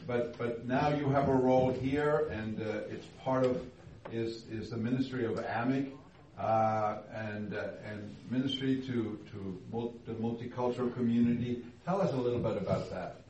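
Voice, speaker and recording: A man hosting a church interview, speaking haltingly, with many fillers and restarts as he introduces and questions a guest. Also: medium pitch, steady tone, darkish tone. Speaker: host, interviewer. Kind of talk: casual interview. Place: church hall. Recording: echoey room, distant mic, faint noise.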